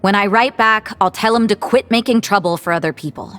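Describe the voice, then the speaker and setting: A woman voices a cool young nun from a fantasy game, speaking at a medium pitch. She is dry, composed and irreverent, with faint music behind her. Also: brisk, fairly bright tone, close to an everyday voice. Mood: amused, dry. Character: cool, worldly, irreverent young church sister. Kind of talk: video game voice acting. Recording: background music under the voice.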